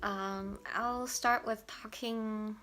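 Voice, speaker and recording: Slow, bright-voiced Taiwanese woman explaining. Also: mid-range voice, fairly even intonation, soft background music. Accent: Taiwanese Mandarin. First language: Mandarin. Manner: hesitant, many "um" fillers and restarts. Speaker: language learner vlogger. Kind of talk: explaining a pronunciation tip to camera.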